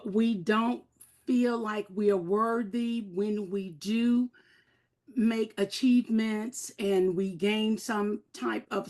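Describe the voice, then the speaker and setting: An older woman, a life transitions coach, speaking slowly and carefully on a panel. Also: medium pitch. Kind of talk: panel discussion.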